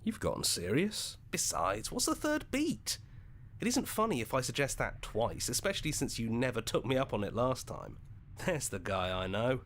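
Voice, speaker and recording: A man playing a wry, animated inner voice in a hero's head in a video game. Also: mid-range voice, normal speed, slight background noise. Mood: wry, bantering.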